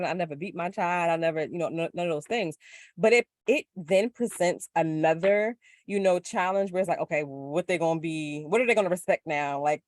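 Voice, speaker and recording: Woman guest, low gritty voice, animated rambling chat, dry close sound. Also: no room sound. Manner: reflective, animated, lots of filler words. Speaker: podcast guest. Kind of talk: casual spiritual chat.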